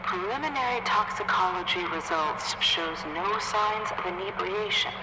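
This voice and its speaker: An actor playing a clinical coroner in a game, formally dictating an official report in a detached, measured manner on tape. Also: mid-range voice, over a noisy crowd, close to an everyday voice. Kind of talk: scripted report read on tape. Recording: very noisy, echoey, distant tape playback.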